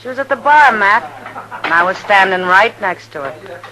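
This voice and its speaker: A woman's voice: mid-range voice, lively intonation, normal speed, bright, forceful tone. An American actress playing a worldly, loyal saloon woman in a 1950s radio Western, talking in a frank and familiar way. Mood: frank, concerned. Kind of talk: 1950s radio drama acting. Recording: noisy vintage 1950s radio recording.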